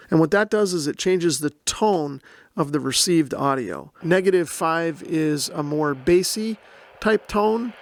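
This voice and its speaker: Creaky-voiced man giving a step-by-step demonstration. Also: on the high side, no room sound, studio quality. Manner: methodical, plain-spoken explaining. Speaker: host, radio reviewer. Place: indoor room.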